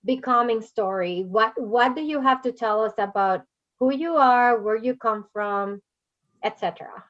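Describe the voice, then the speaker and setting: A woman's voice: a little high, unhurried, muffled recording. A woman with a Puerto Rican Spanish accent, Spanish her first language, hosting an interview in a chatty way and laughing easily. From Puerto Rico. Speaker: podcast host. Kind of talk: conversational interview.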